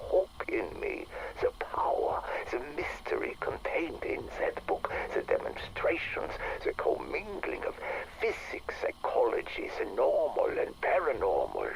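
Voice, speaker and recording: An actor playing an elderly occult scholar in a game audio log, reminiscing in a halting, theatrical way with a breathy, gravelly voice. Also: male voice, medium pitch, exaggerated voice, rough recording. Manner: halting, theatrical, savoring words. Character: elderly occult scholar reminiscing about his boyhood, wonderstruck.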